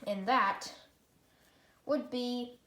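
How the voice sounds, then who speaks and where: A woman giving a casual, unscripted gadget demonstration in a rambling, halting way, often correcting herself. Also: a little high, fairly even intonation. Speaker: product reviewer. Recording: echoey room, loud background noise.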